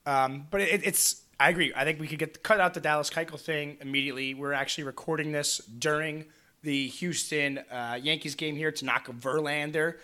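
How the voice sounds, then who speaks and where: Hoarse podcast guy, kinda high voice, rambly with lots of ums. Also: fairly even intonation, a little fast, pushed and bright. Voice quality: raspy. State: hoarse after a busy weekend. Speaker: podcast co-host. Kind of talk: casual sports podcast chat.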